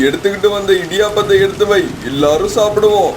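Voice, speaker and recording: Guy playing a sensible dad bird in a cartoon, a bit high, advising, light rainy noise. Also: fast, lightly acted. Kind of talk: Tamil animated moral story acting.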